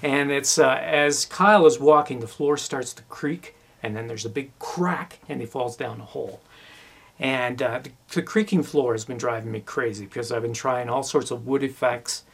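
A man's voice: medium pitch, moderate pace, vocal fry, fairly bright tone, husky. A man talking casually as he explains his work as a sound designer.

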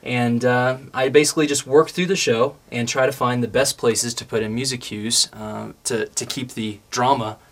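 A man explaining his sound design work in a casual, somewhat halting way. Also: mid-range voice, steady tone, fairly bright tone.

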